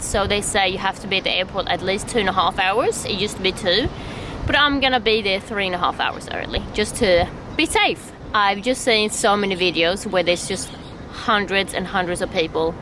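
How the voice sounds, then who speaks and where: A young woman from Sweden with a Swedish accent, chatting excitedly in a casual travel vlog and laughing often. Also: medium pitch, noisy background. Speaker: vlogger.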